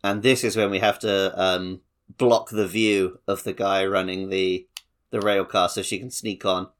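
A man's voice: sits low, little pitch movement, unhurried. A man co-hosting a casual video game podcast, chatting informally. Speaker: podcast co-host.

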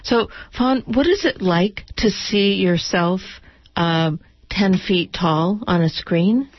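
A woman's voice: medium pitch, slow, highs cut off. A woman hosting a radio interview in a conversational, unscripted way.

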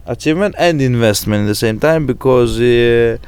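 A man with a Greek accent, chatting casually and thinking aloud, with many pauses and fillers. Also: mid-range voice, darkish tone, smooth. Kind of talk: casual chat.

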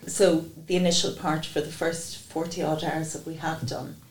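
A woman in her fifties from Jersey explaining plans in a measured way, recorded in an echoey room with faint noise. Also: low-pitched, flat delivery. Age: 50s. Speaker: guest.

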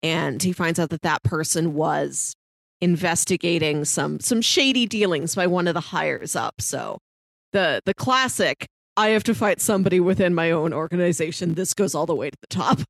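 A woman's voice: on the low side, moderate pace, gravelly, no room sound. Woman podcaster, close dry sound. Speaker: podcast co-host reviewing films. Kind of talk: casual movie review chat.

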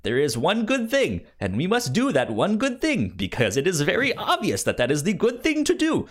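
Animated man with a stammer. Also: sits high, moderate pace. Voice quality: stutters, repeating syllables and words. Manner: animated, acts out mock quotes. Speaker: podcast co-host debating films. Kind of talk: casual film debate chat.

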